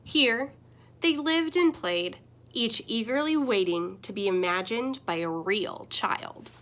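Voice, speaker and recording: A young adult woman reading a children's picture book aloud as a teacher, gentle and expressive, with big swings in pitch and loudness. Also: slow.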